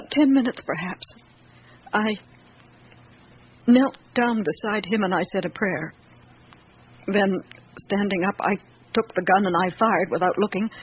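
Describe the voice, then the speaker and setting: An actress playing an old, devout female servant in a radio drama, confessing in halting, plain-spoken phrases. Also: medium pitch, close to an everyday voice. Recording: muffled old radio recording, faint noise.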